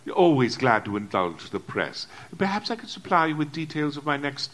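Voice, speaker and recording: A flamboyant English gentleman vampire hunter in an audio drama. His voice is bright and forceful, swinging widely in pitch. He talks with pompous, theatrical condescension. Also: big pitch swings, a little fast. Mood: pompous, jovial. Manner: pompous, theatrical, hesitating politely.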